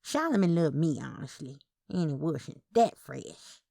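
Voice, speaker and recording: A woman voicing a gentle, wistful old aunt in an audio story, with sentimental, drawn-out delivery. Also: deep voice, very animated, creaky, husky, no room sound, clean studio sound, full character voice. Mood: wistful, defensive.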